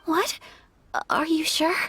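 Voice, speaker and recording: A woman's voice: very high-pitched, fairly even intonation, slow pace, a bit bright, soft background music, exaggerated voice. A woman playing a polite, modest young noblewoman in a video game, speaking formally and earnestly.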